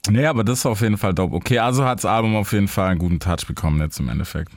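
A man's voice: lowish voice, very fast. A man hosting a casual chat, laid-back, answering in short slangy replies.

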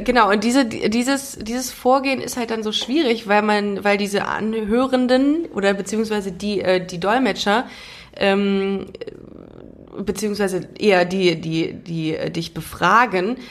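Curious woman hosting a podcast. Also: mid-range voice. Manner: curious, asks lots of questions, lively reactions. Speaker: podcast host. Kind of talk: casual interview chat.

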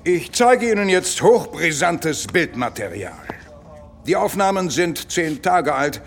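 An actor playing a grave, gruff military general with a portentous manner in a comedic sci-fi audio drama. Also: male voice, a little high, very animated, takes it slowly, soft background music, exaggerated voice.

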